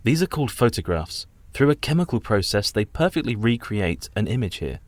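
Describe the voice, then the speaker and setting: Polite, low-voiced young solicitor. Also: male voice, big pitch swings, no room sound. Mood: polite, businesslike. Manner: formal, measured, polite. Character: earnest, polite young English solicitor, uneasy guest. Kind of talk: radio play acting and journal narration.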